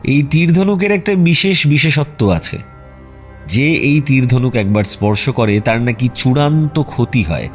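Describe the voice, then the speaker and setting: A man playing a self-assured, wry detective in a radio drama, polite and probing with deadpan teasing. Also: medium pitch, a little slow, faint music behind, lightly acted. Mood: mock-serious warning.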